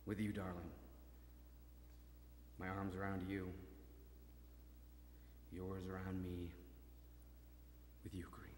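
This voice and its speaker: An actor playing a maimed young soldier, desperately hopeful, in a halting, intense dramatic monologue that moves between murmurs and cries. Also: male voice, deep voice, monotone, darkish tone, slight background noise. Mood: wistful. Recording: reverberant hall, stage performance.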